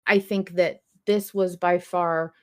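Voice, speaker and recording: A woman's voice: fairly low pitch, fairly even intonation, slow. Casual chatty woman podcast host.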